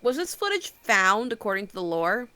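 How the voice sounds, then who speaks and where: A woman's voice: medium pitch, very animated, normal speed, bright, forceful tone, no room sound. A woman chatting casually as she reacts to videos with others.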